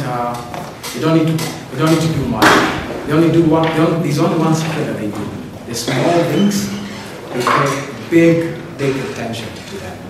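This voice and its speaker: A man's voice: medium pitch, recorded from a distance. Emphatic man in an echoey hall. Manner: emphatic, repeats phrases, gestures. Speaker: company operations manager speaking at a campus talk. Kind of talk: motivational talk to students. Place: indoor university hall. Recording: echoey room, voice from afar, loud noise.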